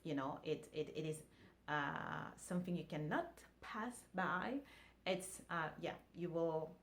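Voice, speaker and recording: Relaxed woman. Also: low-pitched, a little slow. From France. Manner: relaxed, conversational, many fillers and restarts. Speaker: vlogger. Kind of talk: casual talk to camera.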